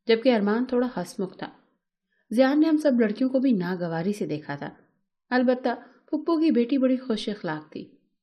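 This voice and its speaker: A woman reads an emotional first-person story as its narrator. Her voice sits fairly high, yet has a very mellow, warm tone. The delivery is measured and dramatic, in the manner of scripted storytelling.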